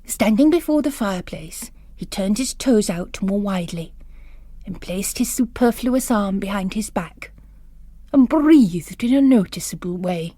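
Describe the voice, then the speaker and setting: Slow, expressive woman narrator. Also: mid-range voice, no room sound. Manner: expressive, deliberate. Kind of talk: dramatic literary story narration.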